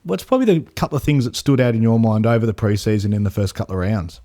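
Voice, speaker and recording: Jokey podcast host. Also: male voice, lowish voice, big pitch swings, fast talker, warm tone. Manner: jokey, laughs often. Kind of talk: casual podcast chat. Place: office.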